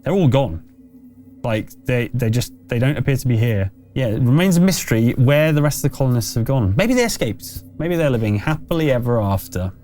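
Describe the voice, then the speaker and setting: A man's voice: mid-range voice, animated, fairly quick, warm tone, faint music behind. A man running a tabletop roleplaying game, narrating and explaining in a conversational, slightly hesitant way. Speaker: game master.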